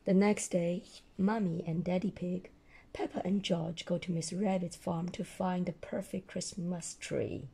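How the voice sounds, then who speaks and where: A woman storyteller with a hoarse voice reading a children's picture book aloud and explaining it simply to a child. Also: on the low side, fairly even intonation, measured pace, warm tone. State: hoarse, losing her voice.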